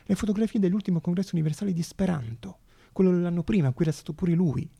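Male theatre monologist: husky, very creaky voice, dramatic storytelling, deliberate pauses. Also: high voice, mellow. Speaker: stage actor and storyteller.

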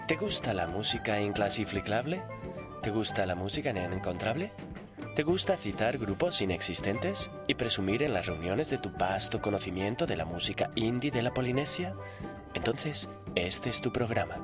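A man's voice: fairly low pitch, sing-song, moderate pace. A man with a Spain accent hosting a humorous radio show. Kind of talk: humorous radio banter and promo. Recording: music playing behind. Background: music.

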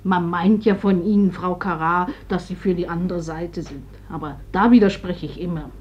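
An actress playing an older, grieving mother in a radio drama, plain-spoken, measured and resigned. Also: lowish voice, fairly even intonation, a little mellow, slightly rough. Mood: earnest, resigned. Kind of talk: German stage-like radio drama acting. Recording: dull old radio drama recording.

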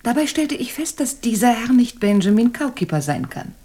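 A woman's voice: on the high side, big pitch swings, moderate pace, mellow, slightly rough. An actress playing a frank, self-assured lady in a German radio crime drama, speaking candidly with a teasing edge. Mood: frank, unembarrassed.

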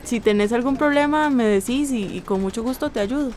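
An actress playing a friendly, caring young internet café worker in a radio drama, with a Costa Rican accent. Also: female voice, fairly high pitch, fairly quick, a little mellow, lightly acted. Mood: friendly, flustered. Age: young adult. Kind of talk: naturalistic radio drama acting.

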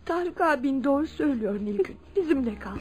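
An actress playing a compassionate, welcoming wife and elder-sister figure, gentle and consoling, in a radio drama. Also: female voice, high-pitched, animated, fairly quick. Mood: tender, welcoming.

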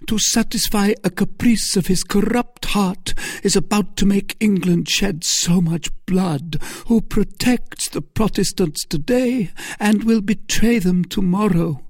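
A man's voice: sits high, unhurried, hardly any pauses, slightly rough, dry recording, pitched above the performer's own voice, exaggerated voice. A cunning, vengeful noblewoman who pleads with passion, as a man reading a novel aloud puts her on. The voice is lifted well above his own and carries a slight huskiness, set in a dry, close recording. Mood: bitter accusation. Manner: impassioned, pleading.

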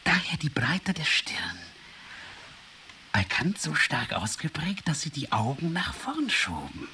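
A woman's voice: low voice, huge pitch swings, measured pace, bright, forceful tone, a little hiss or hum, full character voice. A woman playing an exacting anatomist in a radio drama, dictating findings in clipped, declamatory phrases that drop into whispers. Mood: clinical, intent.

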